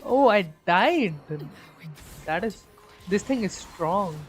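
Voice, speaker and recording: A woman live-streaming a game, chatting away as she plays. She thinks aloud, restarts her sentences while she counts things up, and keeps turning to her viewers for input. Her pitch sits in an ordinary middle range. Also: expressive, takes it slowly, soft background music. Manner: thinks aloud, talks to chat. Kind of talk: casual live-stream commentary.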